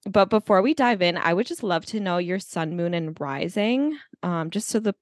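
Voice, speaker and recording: A woman's voice: lowish voice, lively intonation, normal speed, dry recording, studio quality. A woman hosting a podcast in casual chat, talking freely with lots of fillers and easy laughs. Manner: chatty, laughs easily, many fillers. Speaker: podcast host.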